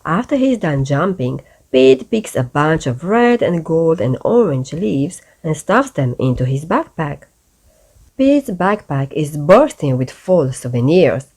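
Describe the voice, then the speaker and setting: Slow, lively woman reading a children's story. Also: low-pitched, slightly rough. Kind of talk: read-aloud bedtime storytelling.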